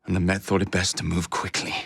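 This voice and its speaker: A man's voice: low voice, level tone, fast, creaky, fairly bright tone, breathy edge, no echo, studio quality, full character voice. A man playing a cocky young Victorian London gang leader in a video game, with an English accent and a cheeky, wry manner. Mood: brash, businesslike.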